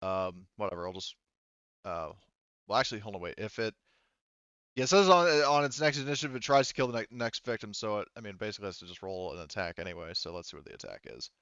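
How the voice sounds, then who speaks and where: A man running a tabletop game as game master, thinking aloud in a halting, casually profane way as he talks through rules and narration. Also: on the low side, a bit bright, smooth, dry recording, dull audio.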